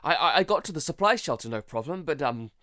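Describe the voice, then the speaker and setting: A man playing a frightened, traumatised survivor in a halting, dramatic audio-drama monologue. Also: a little high, lively intonation, a bit bright, no room sound, clean studio sound. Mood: shaken, breathless dread. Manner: halting, stammering, broken by sighs. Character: frightened, traumatised male survivor keeping a monster-apocalypse audio diary.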